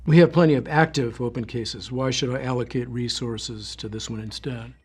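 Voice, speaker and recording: An actor playing a gruff, skeptical bureau boss in an audio drama, with a husky voice and brusque, authoritative manner. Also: male voice, mid-range voice, steady tone, normal speed, darkish tone. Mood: impatient.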